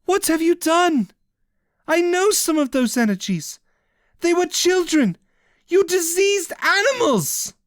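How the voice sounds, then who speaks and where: A storyteller voicing a feverish young woman mage who speaks in a sharp, strained, defiant way. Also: high voice, very animated, unhurried, dry recording, studio quality, pitched above the performer's own voice. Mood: outraged.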